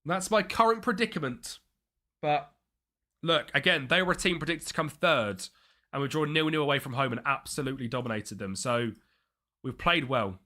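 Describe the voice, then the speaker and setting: Young guy on a live game stream, bright punchy voice, chatting with chat and thinking out loud. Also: a little high, lively intonation, moderate pace. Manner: chatty, thinks aloud, reads out chat messages. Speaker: streamer playing a football management game.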